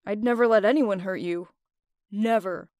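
Woman doing a gentle, caring young man. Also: medium pitch, normal speed, no echo, pitched below the performer's own voice, lightly acted. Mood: earnest. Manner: soft, earnest, reassuring.

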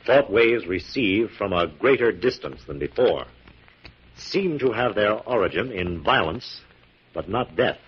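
An actor playing an assured, methodical scientist hero with a measured, authoritative manner, in 1940s radio drama style. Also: male voice, lowish voice, expressive, heavily acted. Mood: businesslike, assured. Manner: crisp dictation, measured, authoritative. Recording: dull, muffled 1940s radio recording.

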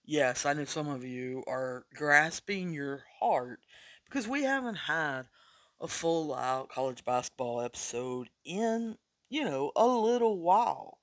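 A man hosts a sports betting podcast, talking through his picks slowly and casually. His voice sits a bit high and still sounds worn from losing it recently. The recording is dry and close. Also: animated, a bit bright, dry recording. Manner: casual, chatty, deliberate picks. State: recovering from losing his voice, coughing. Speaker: podcast host.

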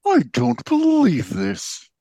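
A man's voice: very high voice, huge pitch swings, takes it slowly, vocal fry, dark tone, no echo, studio quality, heavily acted. A man playing a grudging, put-upon playboy Corgi in an audio play, delivered theatrically. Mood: flustered.

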